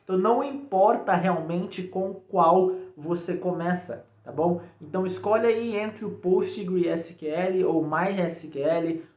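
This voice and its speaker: A man's voice: high voice, unhurried, hardly any pauses, darkish tone. A man with a Brazilian accent giving a casual, informal explanation to viewers, often checking in with short tags. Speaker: programming tutorial YouTuber. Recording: echoey untreated room.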